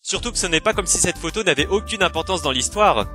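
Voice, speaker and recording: A man's voice: sits high, lively intonation, quick pace, sharp tone, faint music behind. A man voicing a wry wizard who explains things with sarcastic asides in a comic fan parody dub. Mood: wry, explanatory.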